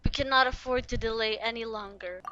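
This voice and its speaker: A woman casually reacting to a video game and reading aloud, expressive and jumping from quiet to loud. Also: on the high side, fairly quick, lo-fi sound. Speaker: streamer playing a video game. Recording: game music behind the voice.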